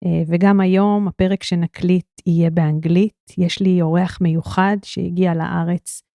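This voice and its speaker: A woman's voice: fairly low pitch, level tone, very fast, gravelly, warm tone, no room sound, clean studio sound. A woman with an Israeli accent, a native Hebrew speaker, hosting a podcast interview with hesitant fillers. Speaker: podcast host.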